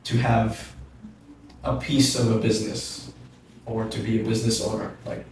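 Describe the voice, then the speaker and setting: A man in his late thirties talks things through at an even pace on a podcast, keeping his pitch fairly flat. He sits back from the microphone in a very echoey room, with a faint hiss behind him. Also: normal speed, distant mic. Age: 30s. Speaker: gym co-founder. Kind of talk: podcast conversation. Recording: roomy echo, off-mic, faint noise.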